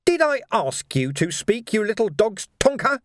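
A man's voice: sits high, wildly swooping pitch, moderate pace, no room sound, clean studio sound, voice pushed up. A man voicing a menacing, mocking villainous captain in a story, bullying and falsely matey by turns. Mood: threatening.

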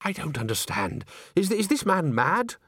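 An exasperated male theatre owner from a comic audiobook, acted out by a male narrator. His voice lifts higher as he frets and protests, stammering and wailing in indignant horror at everything around him. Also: big pitch swings, normal speed, a bit bright, husky, no echo, clean studio sound, exaggerated voice. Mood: agitated, bewildered.

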